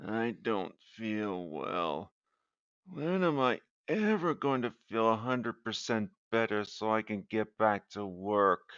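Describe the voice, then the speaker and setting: A man voicing a sick young man who turns into a dog, talking in groans and shrill panic. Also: a little slow, few pauses, a bit bright, dull audio. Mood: groaning.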